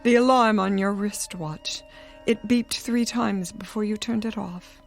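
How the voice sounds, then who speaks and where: A woman's voice: mid-range voice, a little slow, gravelly, faint music behind. An actress playing a stubborn, suspicious woman patient in a radio drama, insistent and defensive. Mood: smugly insistent.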